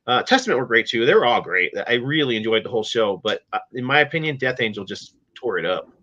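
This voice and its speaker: A man's voice: mid-range voice, big pitch swings, fast talker, pushed and bright, dull audio. A middle-aged man hosting a music podcast in casual chat, matter-of-fact and openly opinionated. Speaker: podcast host.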